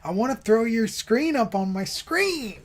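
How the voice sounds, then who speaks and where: A man's voice: extremely high pitch, lively intonation, measured pace. A man in his early thirties chatting casually while gaming, slangy and playfully teasing. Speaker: racer and streamer in a community race. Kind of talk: casual gamer chat.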